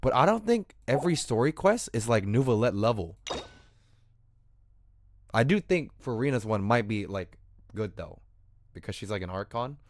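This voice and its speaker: Animated young man chatting on a livestream. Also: mid-range voice, moderate pace, pauses often, slight background noise. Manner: slangy, swearing, rambling, repeats "like". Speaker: game streamer. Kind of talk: casual livestream chat while gaming. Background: game music.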